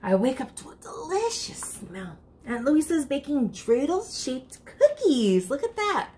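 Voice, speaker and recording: A woman's voice: high-pitched, sing-song, measured pace, dark tone, slight background noise. A woman in her thirties reading a picture book aloud expressively and speaking directly to children. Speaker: library storytime reader. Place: furnished room indoors.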